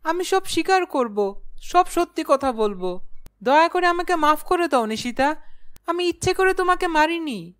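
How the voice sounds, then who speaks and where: A woman's voice: very high voice, lively intonation, moderate pace, a bit bright. A woman voicing a guilty, cowardly young male blackmailer in a horror cartoon, with a pleading manner. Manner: pleading, stammering when frightened. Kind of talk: dramatic horror cartoon acting.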